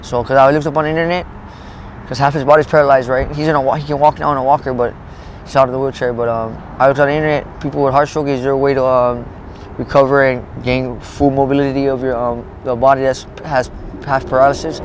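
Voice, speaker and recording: A man in his late thirties talks quickly, rambling through his story, swearing and breaking off mid-thought. He is recorded outdoors in a parking lot, with faint traffic noise behind him. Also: mid-range voice, flat delivery, low-quality recording. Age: 30s. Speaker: interviewee. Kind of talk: street interview, rambling personal story.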